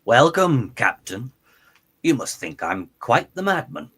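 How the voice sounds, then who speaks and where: A man's voice: medium pitch, sing-song, measured pace, fairly bright tone, breathy edge, full character voice. A man playing a smug, coldly obsessed mad-scientist villain in an amateur radio play, theatrical and taunting. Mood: mocking.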